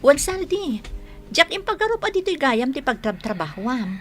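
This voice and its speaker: A woman's voice: sits high, very animated, moderate pace, soft background music. An actress playing a sociable, slightly tentative young working woman in a radio drama, with an Ilocano accent. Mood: friendly, tentative. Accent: Northern Philippine Ilocano. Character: friendly young bank worker, acquaintance named Ashley.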